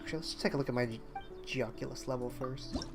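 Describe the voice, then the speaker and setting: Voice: medium pitch, quick pace, music in the background. A game streamer chatting casually on a livestream, hesitant and rambling while thinking aloud. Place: indoors at a streaming setup.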